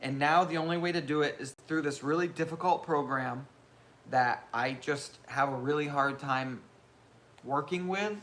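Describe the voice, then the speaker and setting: A man in his thirties with a loud voice, hosting a casual live question-and-answer chat and rambling with self-mocking humor. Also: a little high, normal speed. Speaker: channel host.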